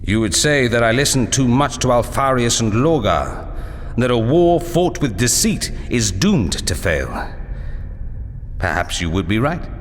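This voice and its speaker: An actor playing a bitter, brooding warlord commander in a measured, theatrical audio drama monologue, with a deep, grave voice. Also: male voice, expressive, lightly acted. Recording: long hall reverb, faint noise.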